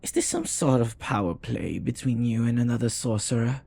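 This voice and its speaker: A man playing a jealous, flirty, devoted demon in an intimate audio roleplay, speaking formally in a silky, possessive, breathy way. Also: mid-range voice, moderate pace, dark tone. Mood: wary, jealous.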